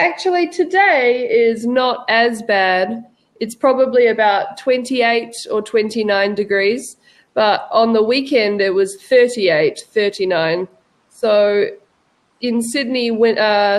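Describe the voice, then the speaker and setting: A woman with a New Zealand accent tutoring English over a video call, speaking patiently and clearly for a learner. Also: on the high side, takes it slowly. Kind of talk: casual video-call conversation.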